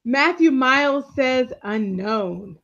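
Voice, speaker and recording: A woman's voice: sits high, animated, takes it slowly, a bit bright, highs cut off. A woman co-hosting a casual live recap show over a video call, encouraging and laughing often.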